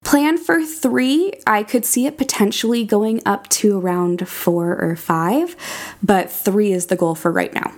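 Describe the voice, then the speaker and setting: A woman's voice: mid-range voice, measured pace, a little mellow. A woman speaking as an interview guest, explaining things in an articulate, conversational way. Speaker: podcast critic and audio drama creator, guest.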